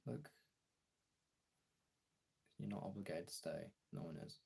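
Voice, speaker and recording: A very low-voiced man talks casually and personally, as a streamer does to viewers. His voice is husky and full of fry, and his delivery is halting and candid, with frequent swearing. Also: a little mellow. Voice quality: gravelly, husky.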